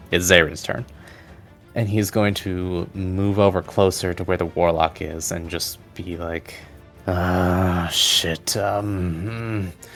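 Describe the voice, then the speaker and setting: Deep-voiced man running a tabletop game. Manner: descriptive, steady narration of combat. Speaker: game master.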